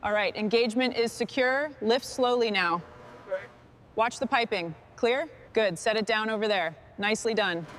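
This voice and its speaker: A focused female crew boss acted by a woman gives short, instructing orders in a workshop drama. Her voice sits fairly high, and clear machinery noise runs behind it. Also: moderate pace, close to an everyday voice. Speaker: crew leader in a truck restoration.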